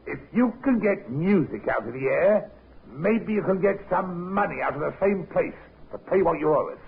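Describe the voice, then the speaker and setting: An actor playing a suspicious, grumbling innkeeper, blunt and colloquial, in a 1950s radio drama. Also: male voice, sits high, expressive, normal speed, creaky. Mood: skeptical, pressing. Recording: muffled, hissy old radio recording.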